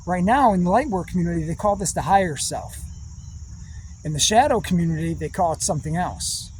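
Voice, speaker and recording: A man giving a rambling spiritual monologue in slow, drawn-out, meditative phrases with long pauses. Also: sits high, animated, a little mellow, slight background noise. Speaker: spiritual speaker.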